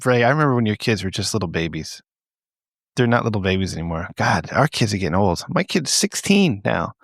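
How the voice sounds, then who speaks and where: A man's voice: fairly low pitch, big pitch swings, a little fast, creaky, no room sound. Crude middle-aged podcast guy, dry close sound. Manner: crude, profane. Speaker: podcast co-host. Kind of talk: casual comedic banter.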